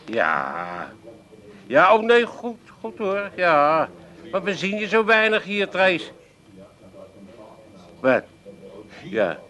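A jovial Amsterdam pub landlord who drawls through his words very slowly. His voice is a little high, and the Amsterdam accent is plain. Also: wildly swooping pitch, sharp tone, exaggerated voice. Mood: chummy. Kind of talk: radio play acting.

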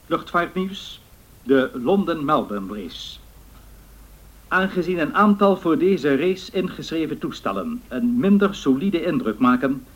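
An actor playing a solemn 1930s radio newsreader in a radio drama, announcing in a measured, formal way. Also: male voice, mid-range voice, close to an everyday voice, poor audio.

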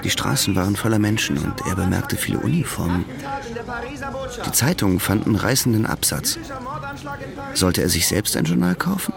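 A man narrates a literary audio drama from a script. His voice is low with plenty of fry, set over noticeable music. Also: very animated, normal speed, long pauses.

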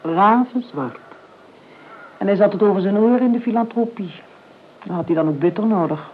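A thin, phone-like old radio recording with a noisy background carries an actress playing a calculating older aunt. She is sardonic and imperious, measuring out her words drily and leaning slowly on the important ones. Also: mid-range voice, close to an everyday voice. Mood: sardonic reminiscing. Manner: measured, dry, drawing out key words. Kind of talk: Dutch radio play acting. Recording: tinny, band-limited old radio recording, clear noise.

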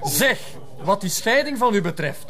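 An actor playing a smug middle-aged lawyer, pompous and jeering, with a Flemish accent in a drama. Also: male voice, high-pitched, sing-song, moderate pace, crowd noise. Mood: gloating, mocking.

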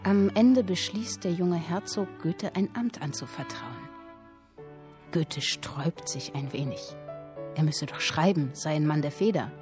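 A woman performing literary narration in a radio drama, telling a historical tale in a deliberate, dramatic way with clipped phrases. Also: low-pitched. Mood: measured, sly. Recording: music under the voice. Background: music with violin.

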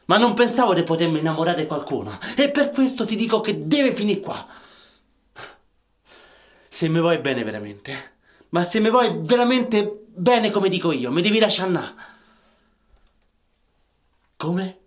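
A man playing a troubled, rough-talking Roman in a radio drama, with a Roman Italian accent and colloquial, emphatic delivery. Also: sits high, very animated, a little slow, long pauses. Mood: agitated, defiant. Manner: colloquial, emphatic, short bursts of questions.

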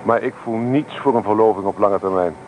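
An actor playing a bantering, easily indignant young student, a farmer's son, in a radio play with naturalistic dialogue. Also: male voice, lowish voice, fairly quick, lightly acted. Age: young adult. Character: excitable, touchy young male student, farmer's eldest son. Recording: noisy old radio play recording.

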